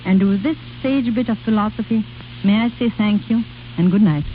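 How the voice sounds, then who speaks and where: A woman's voice: mid-range voice, normal speed. A woman giving a scripted guest talk on an old radio broadcast. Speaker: guest speaker. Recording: tinny 1930s radio recording, hum and hiss.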